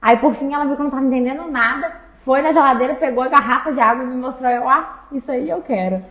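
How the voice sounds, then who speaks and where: A woman's voice: high-pitched, fast talker, a bit bright, muffled recording. A woman chatting casually and expressively, telling personal anecdotes and checking in with listeners. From interior of Minas Gerais, Brazil. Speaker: exchange agency staff member co-hosting a talk. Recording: echoey room.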